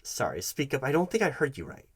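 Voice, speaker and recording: A man's voice: mid-range voice, wildly swooping pitch, fast talker, husky, no room sound. A man playing a pompous, bumbling job interviewer in a comedic roleplay, condescending and fussy, stammering and correcting himself. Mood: indignant, condescending. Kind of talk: comedic ASMR roleplay addressed to the listener.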